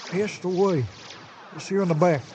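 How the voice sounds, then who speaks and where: A man's voice: sits high, moderate pace, dark tone, lo-fi sound, soft background music, natural voice. A man playing a cantankerous small-town sheriff with a Southern US accent, folksy and blustering, in a comic radio drama. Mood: scheming, hopeful. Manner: folksy, exasperated, colourful mild oaths. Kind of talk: comic old-time radio drama acting.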